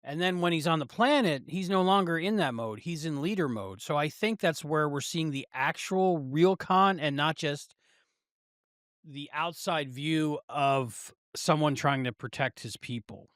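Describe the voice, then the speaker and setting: A man co-hosting a podcast, casually working through his ideas in an animated way with frequent restarts. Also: a little high, a little slow, no room sound, clean studio sound. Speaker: podcast co-host. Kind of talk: casual review chat.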